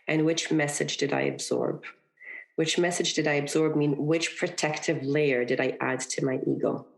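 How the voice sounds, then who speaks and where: Gravelly-voiced woman speaking earnestly. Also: low-pitched, expressive, moderate pace, poor audio. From Egypt. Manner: earnest, reflective, uses many fillers like "you know" and "right". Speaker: podcast guest, parenting coach. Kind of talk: conversational interview.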